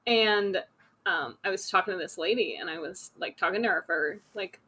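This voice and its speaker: A woman tells an embarrassing story on a podcast in a casual way, with lots of likes and ums. Her voice is bright. She pokes fun at herself as she talks. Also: fairly low pitch, moderate pace, husky. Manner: self-deprecating storyteller, frequent likes and ums. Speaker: podcast guest. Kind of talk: casual chat.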